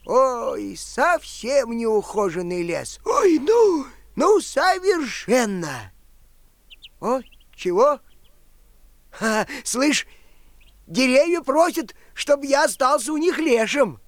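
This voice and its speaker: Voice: sits high, big pitch swings, slow pace, no room sound, clean studio sound, full character voice. A performer voicing a grumpy but kindly old male forest spirit in a musical audio play, drawling and sighing with many exclamations. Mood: fussy.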